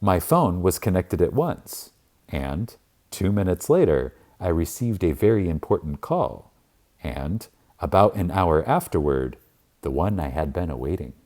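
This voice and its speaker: A man narrating a spiritual audiobook in a reverent, emphatic manner with deliberate dramatic pauses. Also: low-pitched, very animated, a little mellow.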